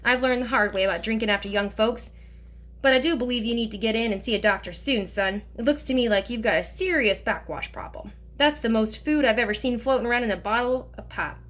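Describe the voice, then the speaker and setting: A chatty old man from a storybook, kind and full of jokes, who rambles on in a folksy way. A woman acts him out, with his voice set fairly high. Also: fairly quick, fairly bright tone, lightly acted. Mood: teasing. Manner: joking, folksy, talkative. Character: teasing kindly older male driver.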